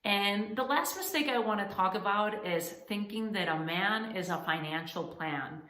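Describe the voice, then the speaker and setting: A woman's voice: medium pitch, sharp tone. Earnest woman from Spain, echoey room. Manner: earnest, encouraging, addresses women as ladies; halting restarts and fillers. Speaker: founder of a coaching channel. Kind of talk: direct-to-camera advice talk.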